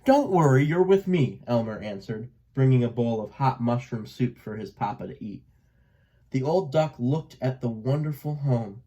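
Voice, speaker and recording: A man narrating a children's storybook aloud in an expressive read-aloud style. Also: medium pitch, moderate pace. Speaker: storybook reader.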